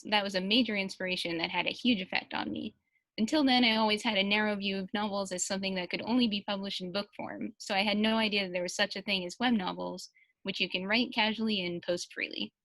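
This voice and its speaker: A woman's voice: medium pitch, brisk, fairly bright tone, no room sound, rough recording. Female panelist, middle register, conversational read of written answers, dry close sound. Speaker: panelist reading out an author's translated answers. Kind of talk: casual panel reading and chat.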